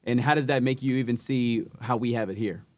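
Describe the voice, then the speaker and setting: A man hosting a podcast, talking in a halting way with many restarts as he searches for words. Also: mid-range voice, fairly even intonation, no echo. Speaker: podcast host. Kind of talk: casual podcast interview.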